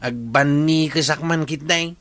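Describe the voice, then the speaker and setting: A man's voice: a little high, unhurried, a bit bright, dry recording. A man playing a boastful, hot-tempered village watch chief in an Ilocano drama. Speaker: actor in drama. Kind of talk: Ilocano radio-style drama acting.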